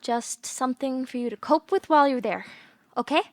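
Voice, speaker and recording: A woman's voice: sits high, brisk, dry recording, clean studio sound. An actress playing a caring young woman, frank and full of questions, in an audio play. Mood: hopeful. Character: frank, caring young woman, the troubled man's childhood friend.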